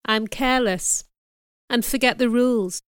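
A woman voicing a gentle, patient, forgiving schoolgirl in an audiobook, speaking quietly and calmly. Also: on the high side, measured pace, clear, resonant tone, no echo, studio quality, close to an everyday voice. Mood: resigned, calm. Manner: quiet, soft, calm. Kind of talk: audiobook character voice.

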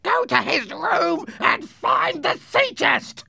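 Man playing a high, shouting pirate henchman. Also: steady tone, very slow, vocal fry, no room sound, clean studio sound, heavily acted. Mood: agitated. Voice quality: strained, shouted. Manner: loud, urgent calling out. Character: rough excitable pirate henchmen searching a house.

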